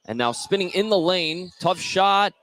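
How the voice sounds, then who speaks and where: A man doing live play-by-play radio commentary of a high school basketball game. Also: lively intonation, a little slow, a bit bright. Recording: noisy gym ambience behind the voice.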